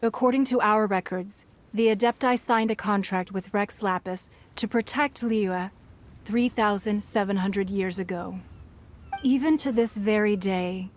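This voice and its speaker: An actress playing a poised, shrewd businesswoman who leads a fantasy city, speaking in a measured, formal, diplomatic way in a game. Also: medium pitch, natural voice. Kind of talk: scripted fantasy game dialogue. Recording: music under the voice.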